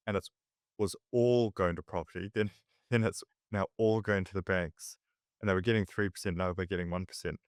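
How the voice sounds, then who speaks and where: A man's voice: deep voice, fairly even intonation, brisk, no echo. A man talks markets casually, often restarting his sentences. The sound is dry and close, with no room around it. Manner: conversational, restarts mid-sentence. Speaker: investment commentator.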